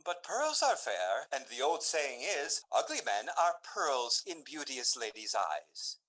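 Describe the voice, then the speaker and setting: A man plays a smooth-talking, sly gentleman in a radio comedy. The verse is delivered with dry teasing and extremely lively rises and falls. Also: mid-range voice, slow pace, full character voice, low-quality recording. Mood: dry, flattering.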